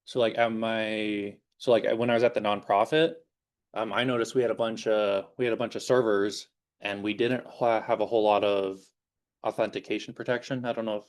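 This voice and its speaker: A man's voice: lowish voice, fairly even intonation, moderate pace, darkish tone. A young man in his twenties, a guest security engineer speaking informally to a class in a classroom, rambling with many fillers as his voice starts to give out. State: slightly losing his voice.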